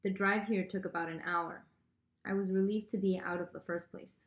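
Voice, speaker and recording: A young woman seated indoors, reading a first-person legal declaration slowly and carefully. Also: medium pitch, steady tone. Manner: slow, careful reading from paper. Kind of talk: solemn reading of testimony. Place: small indoor room with plain wall, seated. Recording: echoey room.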